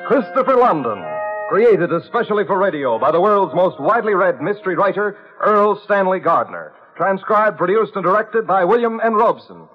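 A man's voice: high voice, very animated, normal speed, breathy edge. A man reading programme credits as an emphatic, proclaiming announcer in old-time radio style. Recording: muffled vintage radio over loud music.

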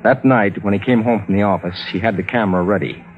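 A man's voice: on the low side, fast, natural voice. A man narrating a suspense story as a grave storyteller in dramatic mid-century radio style. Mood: measured suspense. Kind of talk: dramatic scripted narration, 1940s-50s radio. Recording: tinny, band-limited old radio recording, loud music.

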